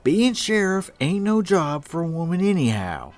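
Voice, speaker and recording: A man's voice: high voice, sing-song, normal speed, soft background music. A man playing a proud, chauvinist cowboy suitor in a comic western drama, speaking in folksy cowboy talk. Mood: indignant.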